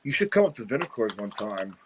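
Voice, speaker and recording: A man's voice: on the low side, very animated, fairly quick, background noise. A middle-aged man in casual chat who agrees often in short bursts and swears freely.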